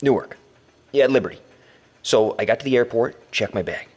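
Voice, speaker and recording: An actor playing an anxious but bold young captive, muttering a halting spoken journal in an audio drama. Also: male voice, mid-range voice, a little mellow, natural voice. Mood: dazed, recalling. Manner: halting, muttering, narrating aloud to himself.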